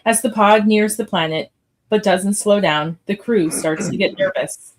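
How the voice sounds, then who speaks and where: A woman's voice: medium pitch, unhurried, low-quality recording. Woman narrating screenplay stage directions. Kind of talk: scripted narration in a live script read.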